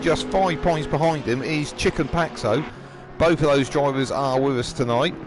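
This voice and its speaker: Slightly hoarse man calling a live race, relaxed and chatty, some background noise. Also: on the high side. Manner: chatty, frequent ums and throat clearing. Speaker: race commentator. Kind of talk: live sim racing commentary. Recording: noisy background.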